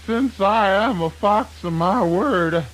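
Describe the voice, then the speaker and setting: A husky, high-pitched boastful fox. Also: male voice, fairly bright tone, full character voice. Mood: sheepish. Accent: Southern US. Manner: folksy, chuckling, drawn-out delivery. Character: boastful, gullible male fox, easily flustered. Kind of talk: children's radio theatre folk tale acting.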